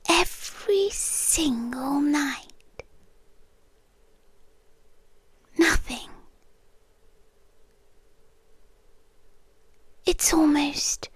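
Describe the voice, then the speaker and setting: A British woman playing an eerie, possessive, soft-spoken doctor in an ASMR roleplay, speaking deliberately in a hush that often slips into whispers, with long pauses. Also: sits high, no echo, heavily acted. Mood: quiet unease. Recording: close, dry ASMR recording.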